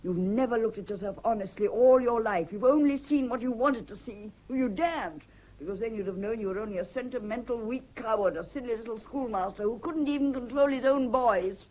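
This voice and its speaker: A woman acting a proud, scornful British mistress in a radio melodrama. Her voice is husky, and she speaks with biting, dramatic emphasis and betrayed intensity. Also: a little high, a little fast, a little mellow, natural voice, low-quality recording.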